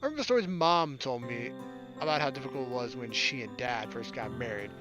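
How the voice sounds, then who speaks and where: A man's voice: mid-range voice, animated, fast talker. A young man doing casual gameplay commentary and reading visual novel text aloud. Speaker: let's player. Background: game music.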